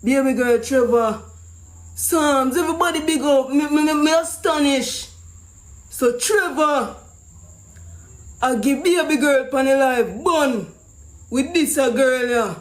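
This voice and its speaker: A Jamaican man hosting a prank call show, commenting excitedly in Patois, swearing and repeating his phrases for emphasis. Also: extremely high pitch, takes it slowly, long pauses, rough recording. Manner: exclamatory, repeats himself, swears.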